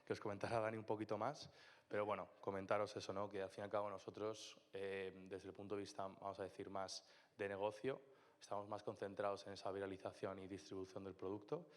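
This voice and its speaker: A man presents his startup's business side to a conference audience. His voice sits low and barely moves in pitch. He explains steadily, tagging his points with a questioning "¿no?", and the hall's long reverb trails each phrase. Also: fast talker. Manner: steady, explanatory, frequent "¿no?" tags. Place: conference hall. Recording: reverberant hall.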